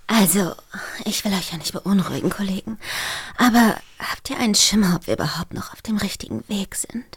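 A woman's voice: medium pitch, a little fast, slightly rough, no echo, voice pushed up. A woman voicing a frightened teenage boy detective who hurts his foot, panicky and often whispering. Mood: uneasy whisper. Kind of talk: acted radio-play scene.

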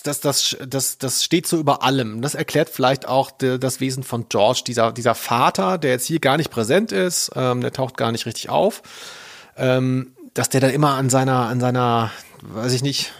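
A man co-hosting a culture podcast in casual conversation. His voice is medium in pitch with hardly any room sound. He rambles enthusiastically and doubles up words. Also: dry recording, clean studio sound. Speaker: podcast host, culture journalist.

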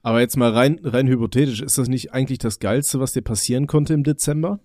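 Young guy w a mellow voice, stumbles and restarts a lot, dry close mic, getting over a cold. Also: mid-range voice, fast talker, no echo. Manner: rambling, stammering restarts, joking. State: recovering from a cold. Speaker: podcast co-host. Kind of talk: casual chat.

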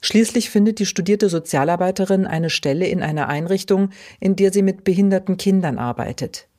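A woman hosting a podcast, reading scripted narration in a clear, even way. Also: mid-range voice, fairly quick. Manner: clear, even read-aloud delivery. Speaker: podcast host.

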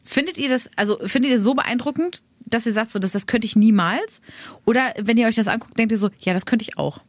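A woman's voice: a little high, fast talker, no echo. A woman in her thirties co-hosting a radio podcast, chatty and self-deprecating. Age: 30s. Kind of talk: casual chat.

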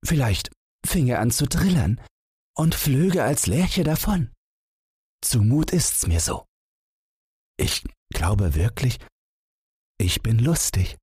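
A man's voice: mid-range voice, big pitch swings, slow pace, long pauses, darkish tone, husky, no room sound, clean studio sound, pitched above the performer's own voice, heavily acted. A man voicing an unlucky young wanderer from a fairy tale, earnest and full of wonder. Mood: joyful wonder. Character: sad, unlucky young wanderer turning hopeful.

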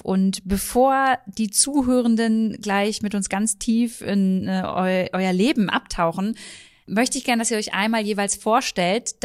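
A woman hosting a podcast interview, recorded indoors in a shop, asking her guests clear, personal questions. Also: medium pitch. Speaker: podcast host.

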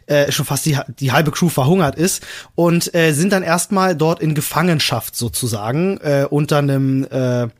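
A man's voice: fairly high pitch, normal speed. A man co-hosting a podcast in casual chat, explaining things with enthusiasm. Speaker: podcast co-host.

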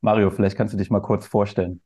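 A man's voice: fairly low pitch, level tone, fast, dark tone, husky. A young man asking questions in a casual interview.

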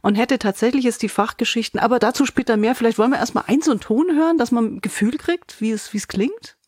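A woman's voice: on the high side, fast, a little mellow, no room sound, studio quality. A woman hosting a podcast review in a casual, conversational way. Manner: conversational, acts out imagined quotes.

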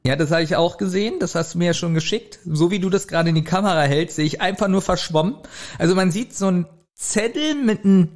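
A man's voice: sits high, fast, a little mellow. A man chatting casually as a podcast co-host, informal and reviewing as he goes. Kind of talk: casual chat reviewing an audio drama. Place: remote recording at a computer.

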